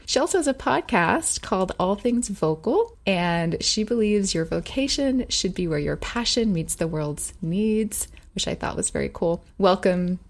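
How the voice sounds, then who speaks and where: A woman hosting a podcast, speaking in an earnest, measured way, whose first language was Hungarian. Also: fairly low pitch. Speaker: podcast host. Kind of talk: podcast intro and interview.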